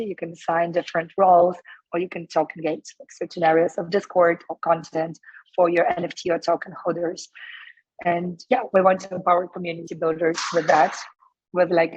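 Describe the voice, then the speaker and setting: Hoarse woman in her thirties, low flat voice, muffled dry remote call. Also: dry recording. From Ukraine. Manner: talkative, many fillers and restarts. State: losing her voice. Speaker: guest, startup co-founder. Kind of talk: casual interview answers.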